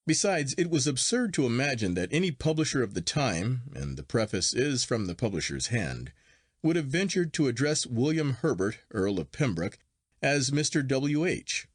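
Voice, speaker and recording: A man reading a literary story aloud as an audiobook narrator, in a measured, formal manner. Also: medium pitch, no echo, studio quality.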